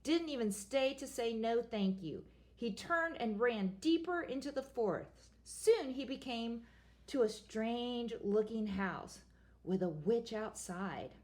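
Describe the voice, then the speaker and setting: A woman's voice: fairly high pitch, lively intonation, slow, darkish tone. Woman reading a storybook aloud, playful. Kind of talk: reading a picture book aloud.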